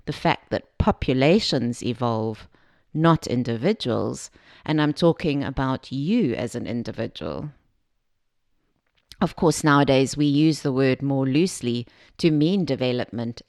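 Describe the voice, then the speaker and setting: A woman from Zambia giving a scripted self-help talk as a podcast host, measured and explanatory, speaking directly to the listener. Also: low voice, darkish tone, no room sound, studio quality.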